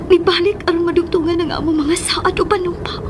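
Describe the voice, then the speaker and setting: A woman's voice: very high voice, fairly even intonation, fairly quick, background noise. An actress playing an emotional mother torn between lost love and her child in a Philippine radio drama. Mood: anguished, yearning. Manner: emotional, prayerful, breaking into hushed soliloquy. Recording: radio drama with music underneath. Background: dramatic background music.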